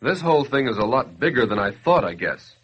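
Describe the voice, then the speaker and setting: An ordinary doubting fellow in a vintage 1940s radio drama. His hesitant, questioning speech swings widely in pitch, with a husky grain, and the old recording is dull. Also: very animated, a little fast. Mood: humbled. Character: doubtful ordinary citizen, slowly won over.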